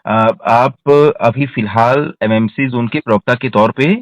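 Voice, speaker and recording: A man's voice: medium pitch, measured pace, no echo. A man working as a journalist, speaking politely and formally with some hesitation in a phone interview. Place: phone call. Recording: dull, band-limited phone call recording.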